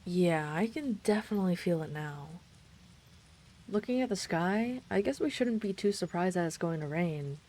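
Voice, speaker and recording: A woman playing a gentle, caring dragon friend in an intimate roleplay audio drama, speaking in a reassuring, considerate, slightly hesitant way. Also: lowish voice, lively intonation, normal speed. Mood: mildly concerned.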